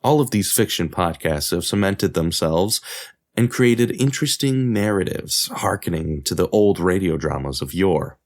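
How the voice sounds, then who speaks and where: A man's voice: low-pitched, expressive, normal speed. A man hosting a podcast, reading scripted narration as a creator of audio drama.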